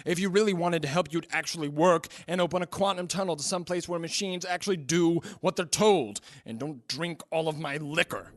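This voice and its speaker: A man's voice: on the high side, fairly quick, hardly any pauses, close to an everyday voice. A man playing a hapless, hard-drinking scientist-inventor in an audio drama, ranting with exasperated disbelief.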